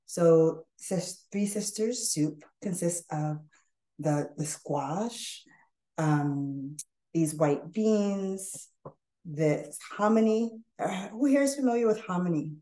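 A woman's voice: fairly low pitch, slow. A young woman chef chatting loosely while she cooks on a live online demo. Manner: chatty, loose and animated, talks while cooking. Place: home kitchen. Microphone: webcam on a video call. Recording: echoey kitchen.

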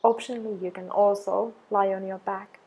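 A woman guiding an affirmation meditation in a soothing, measured way, with long pauses between deliberate phrases. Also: mid-range voice, steady tone, dark tone, husky, low-quality recording. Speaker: meditation guide.

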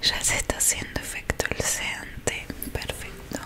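Whispering young Argentine woman, unhurried. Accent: Argentine Spanish. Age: young adult. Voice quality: breathy whisper. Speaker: ASMR performer playing a technician who erases memories. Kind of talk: ASMR roleplay. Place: small dim room indoors. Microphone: close microphone in front of her.

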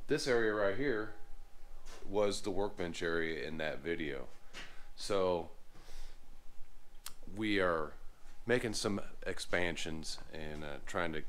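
A man in his forties giving a casual walkthrough of his business's garage shop. He talks in a relaxed, plainspoken way, with many fillers and restarts, into a camera held at arm's length. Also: deep voice. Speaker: lawn care business owner. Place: large unfinished garage workshop, indoors. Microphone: handheld camera at arm's length.

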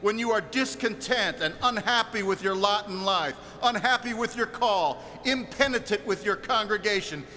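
A man's voice: high voice, moderate pace, few pauses, pushed and bright. A man preaching a fiery, confrontational sermon with emphatic, repetitive rhetorical phrasing. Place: large reverberant hall. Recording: echoey hall, faint mains hum.

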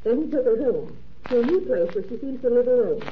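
Actress as high-voiced lady investigator, old radio. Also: animated, fairly quick, lightly acted. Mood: matter-of-fact. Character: dutiful female private investigator reporting in. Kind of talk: 1930s radio acting. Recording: dull, faintly noisy 1930s radio recording.

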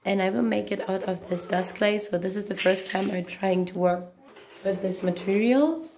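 A woman with a deep, husky voice, hoarse from a cold, explaining each step of a craft tutorial, with small slips. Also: normal speed, a little mellow, slight background noise. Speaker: craft channel host.

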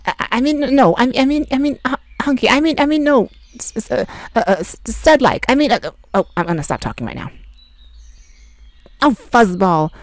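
Woman as sassy male fae, blunt and mocking, lively up-and-down delivery, dry close audio. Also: a little high, no echo, lightly acted. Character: sassy snarky male forest fae healer. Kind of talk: ASMR roleplay.

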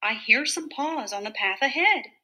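A woman's voice: a little high, expressive, moderate pace, pushed and bright, heavily acted. A woman voicing a big, scary but gullible male monster in a children's storybook. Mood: wary.

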